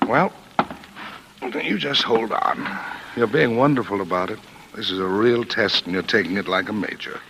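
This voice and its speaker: An actor playing a brisk, weary, paternal defense lawyer in a 1940s radio drama, authoritative and given to repeating himself. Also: male voice, fairly low pitch, lightly acted. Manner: authoritative, repeats himself, talks over objections. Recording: noisy old 1940s radio broadcast.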